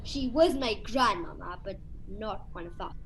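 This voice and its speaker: Woman playing slow, stately spooky-castle count. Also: mid-range voice, expressive, a little hiss or hum. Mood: wistful. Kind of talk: student radio play acting.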